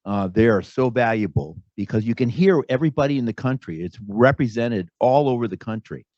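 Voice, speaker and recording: A man speaking off the cuff as a participant at a symposium, in a halting manner. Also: mid-range voice, expressive, darkish tone, no room sound. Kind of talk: spontaneous endorsement at a symposium. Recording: dull lo-fi audio.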